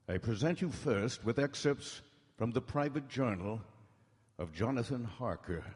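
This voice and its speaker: A man playing a grave, earnest doctor in 1930s radio drama style, formal and measured. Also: medium pitch, animated, a little mellow, husky. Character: grave, earnest male doctor presenting documented evidence. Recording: echoey town hall stage.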